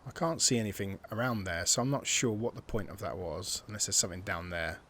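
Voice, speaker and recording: A man giving casual, excitable commentary while playing a video game and thinking aloud. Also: lowish voice, brisk, a little hiss or hum. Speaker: gameplay streamer. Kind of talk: casual let's-play commentary.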